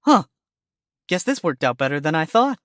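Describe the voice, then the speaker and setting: A man's voice: on the high side, very animated, moderate pace, fairly bright tone, dry recording, pitched above the performer's own voice. A man voicing a sarcastic, wisecracking male fox defense attorney with a dry, exasperated delivery.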